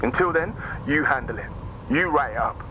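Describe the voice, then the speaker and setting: A man's voice: fairly high pitch, animated, moderate pace, natural voice. Guy acting a bitter angry cop in a video game, blunt and emphatic, dull muffled sound w/ noise. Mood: angry, accusing. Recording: muffled, noisy lo-fi audio.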